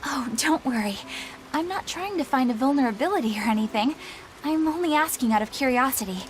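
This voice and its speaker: High, prim young warrior woman. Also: lively intonation, a little fast, a little hiss or hum. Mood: earnest. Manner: prim, formal, earnest. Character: proper, dutiful, stubborn young woman warrior. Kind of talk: English video game voice acting.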